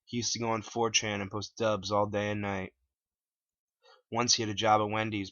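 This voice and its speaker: A man's voice: fairly low pitch, bright, forceful tone, dry recording. A man reading his own first-person story aloud as a dramatic narration. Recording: dull lo-fi dry home recording.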